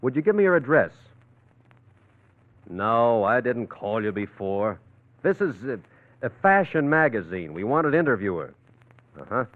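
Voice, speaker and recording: A man playing a brisk, confident private detective in a 1940s radio drama, terse and breezy as he probes. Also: mid-range voice, big pitch swings, dry recording, natural voice. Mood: smooth inquiring. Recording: tinny, narrow-band old radio recording.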